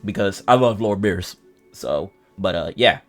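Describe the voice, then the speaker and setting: A man's voice: low-pitched, lively intonation, moderate pace, a bit bright, faint music behind. A man streaming a video game, chatting casually in an informal, rambling way as he comments.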